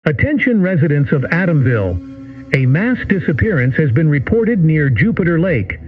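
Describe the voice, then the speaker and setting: A man voicing a formal emergency broadcast announcer for a horror series, reading warnings in a flat official manner. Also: a little high. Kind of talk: played clip from an analog horror series. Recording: tinny lo-fi broadcast with music.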